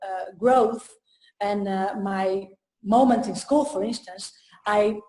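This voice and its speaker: Slow, hesitant woman from Portugal. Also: on the high side, dark tone. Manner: slow and hesitant, many fillers and pauses. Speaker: trainer and coach. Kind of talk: casual interview talk. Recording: echoey room.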